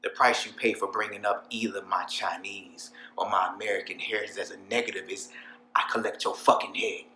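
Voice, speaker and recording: A man's voice: fairly low pitch, fairly even intonation, brisk, bright, forceful tone, reverberant, a little hiss or hum. A man acting a menacing, foul-mouthed crime boss in a threatening dramatic monologue. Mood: cold menace.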